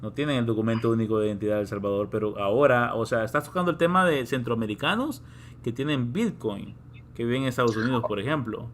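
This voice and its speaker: A man hosts a casual live-stream interview in a mid-range voice, talkative and prone to quick asides and restarts. Faint ambient noise sits under his voice. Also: mid-range voice.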